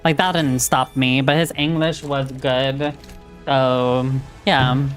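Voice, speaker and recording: A woman's voice: extremely low pitch, flat delivery, slow pace, soft background music. A woman streamer chatting casually and frankly while she plays a game, with lots of filler words. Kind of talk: casual chat while gaming.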